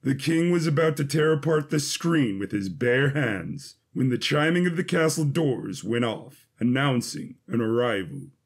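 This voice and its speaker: Gravelly male story narrator. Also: mid-range voice, animated, unhurried, few pauses. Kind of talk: scripted fiction narration.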